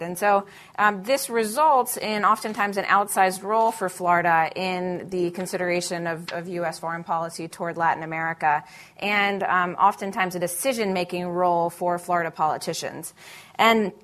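A woman speaking as a panelist in a discussion, measured and analytical, with frequent fillers and restarts. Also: fairly low pitch, fairly bright tone.

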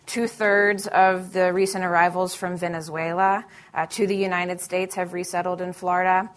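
A woman speaking as a panelist in a discussion, measured and analytical, with frequent fillers and restarts. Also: fairly low pitch, level tone.

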